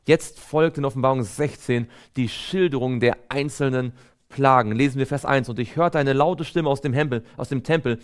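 A male preacher with a mid-pitched voice speaks earnestly in sermon style. He exhorts his listeners and moves between explanation and scripture reading, leaning on important phrases with clear emphasis. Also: normal speed.

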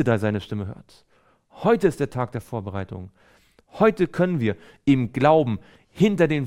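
A male preacher with a mid-pitched voice speaks earnestly in sermon style. He exhorts his listeners and moves between explanation and scripture reading, leaning on important phrases with clear emphasis. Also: a little mellow.

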